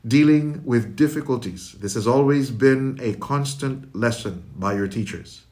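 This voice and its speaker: Middle aged guy doing a formal school speech, measured n eloquent, medium pitch, like closing remarks. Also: darkish tone. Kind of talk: prepared commencement speech. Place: indoor room with curtains, recorded address.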